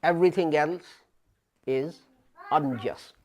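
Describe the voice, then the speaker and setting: A man in his fifties giving a sermon as an imam, speaking deliberately with pauses and rising emphasis, recorded in a small studio. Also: a little high, a little mellow. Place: small studio before a printed backdrop. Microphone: fixed camera, head and shoulders framing.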